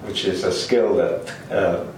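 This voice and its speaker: An older man from Finland who grew up speaking Swedish, Finnish and German, recalling his life in an interview with hesitant, halting speech. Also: low voice, fairly even intonation, darkish tone. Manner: hesitant, many ums and restarts, chuckles. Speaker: interviewee, linguist. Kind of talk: oral history interview. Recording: roomy, distant, faint hiss.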